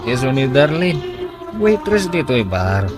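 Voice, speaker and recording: A man's voice: mid-range voice, sing-song, unhurried. A man playing a drunk, evasive boyfriend in a radio drama. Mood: flustered, evasive. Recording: loud music behind the voice.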